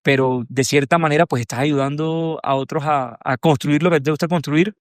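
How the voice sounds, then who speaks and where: A man with a Colombian accent hosting a podcast, joking and putting questions in a halting, prompting way. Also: fairly high pitch, steady tone, no room sound, clean studio sound. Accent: Colombian Spanish. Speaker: podcast host. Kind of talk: conversational interview.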